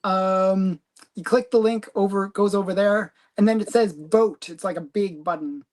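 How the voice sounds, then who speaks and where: A woman's voice: mid-range voice, fairly even intonation, moderate pace, darkish tone. A woman hosting a casual comic collecting livestream, chatty and rambling, giggling often. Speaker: host of a comic collecting channel.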